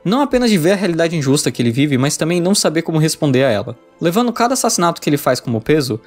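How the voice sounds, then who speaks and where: A man's voice: on the high side, big pitch swings, very fast, faint music behind. A man with a Brazilian accent giving scripted narration for a video essay.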